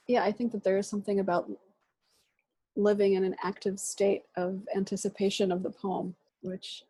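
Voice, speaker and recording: A woman poet whose first language is Russian, answering questions thoughtfully over a video call and pausing often. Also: medium pitch, dark tone, husky, dry recording, poor audio. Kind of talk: reflective answers in an online reading Q&A.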